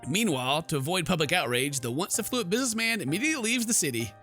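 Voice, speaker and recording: Bright-voiced man narrating a video game playthrough. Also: fairly high pitch, lively intonation, fast talker. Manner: dramatic storybook reading broken by jokey asides. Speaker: gaming video host. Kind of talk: let's play, reading game text aloud with casual reactions. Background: game music.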